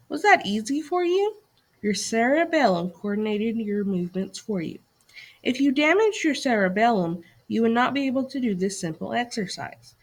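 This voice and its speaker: A woman reading a scripted educational lesson aloud to children as a teacher, putting questions and directions to young listeners. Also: a little high.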